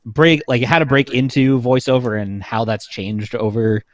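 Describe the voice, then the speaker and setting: A man in his thirties speaking as a panelist in a casual chat, recounting things in an informal, halting, conversational way. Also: medium pitch, no room sound, muffled recording.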